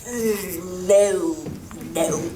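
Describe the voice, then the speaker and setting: A woman's voice: on the low side, drawn-out words, mellow. A woman playing a defiant, scheming young villainess in an amateur radio play, haughty and melodramatic. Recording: echoey hall, distant mic, clear noise.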